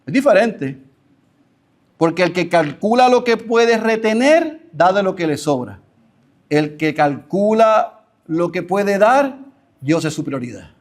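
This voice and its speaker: Male pastor, lively expressive sermon delivery. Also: high-pitched, slow pace. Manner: animated preaching, acts out imagined voices.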